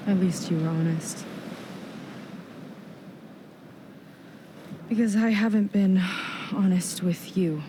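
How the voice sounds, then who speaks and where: Actress as candid young warrior woman, background rumble. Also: moderate pace, natural voice. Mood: grieving, confessional. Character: young female warrior, troubled, candid and resolute. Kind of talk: video game cutscene acting.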